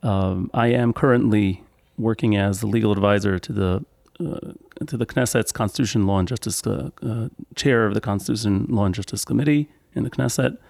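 A man from Israel, a legal advisor co-hosting a podcast, introducing himself in a halting way with pauses and fillers. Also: fairly low pitch, creaky, darkish tone, no echo. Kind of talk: casual self-introduction.

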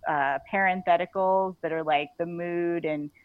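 A woman author talking casually as a podcast guest, halting and chatty, often saying like. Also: fairly low pitch, steady tone, dry recording. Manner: halting and chatty, frequently says like. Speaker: guest author and audio drama writer. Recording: dull lo-fi audio.

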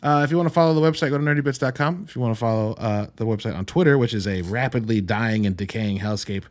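A man with a medium-pitched voice talks casually as a podcast host giving a review. He is enthusiastic and emphatic, leaning on informal phrasing and dry, joking asides. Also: fast talker.

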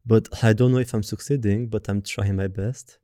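A man with a Romanian accent hosting a podcast, reading a word-for-word script in an even, deliberate way. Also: on the low side, no echo, clean studio sound. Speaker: podcast host.

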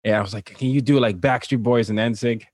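A man from Nigeria, raised across several countries, speaking as an interview guest in casual, personal storytelling with an animated manner. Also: medium pitch, brisk, no room sound, studio quality. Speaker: interview guest, cultural translator and consultant.

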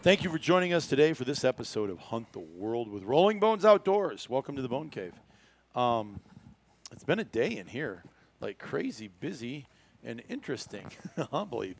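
A man's voice: medium pitch, sing-song, moderate pace, slight background noise. A middle-aged man with an American accent hosting a podcast in a casual, chatty, joking way with folksy exclamations. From Iowa, United States. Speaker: podcast host.

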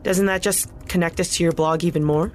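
A woman playing a cautious, defensive reformer with a measured way of talking in an audio drama. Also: lowish voice, steady tone, a little hiss or hum, lightly acted. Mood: frustrated. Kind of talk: naturalistic audio drama acting.

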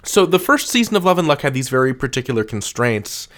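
A man's voice: mid-range voice, big pitch swings, fast, a bit bright. A man hosting a podcast interview in a casual, thoughtful way, building his questions at length.

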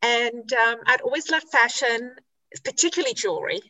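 South african lady chatting on a podcast, bright lively tone, lots of ums. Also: on the high side, poor audio. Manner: chatty and candid, with frequent "um" pauses and self-corrections. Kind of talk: podcast interview.

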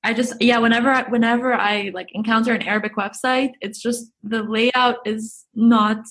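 A woman's voice: fairly high pitch, fairly even intonation, moderate pace, fairly bright tone. A young woman from Egypt, a guest chatting casually in an interview, talking with many fillers and restarts. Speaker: guest, YouTuber.

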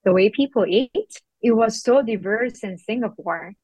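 A woman's voice: on the high side, normal speed, a little mellow, husky, dry recording, low-quality recording. A young woman from South Korea, a guest in an interview, speaking thoughtfully and reflectively with frequent fillers. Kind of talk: interview conversation.

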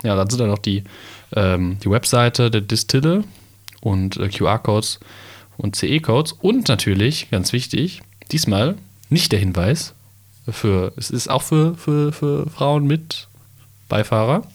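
Young man, podcast co-host, halting, filler-heavy, playful, mid-pitched. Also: expressive. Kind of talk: casual chat, reading a bottle label aloud.

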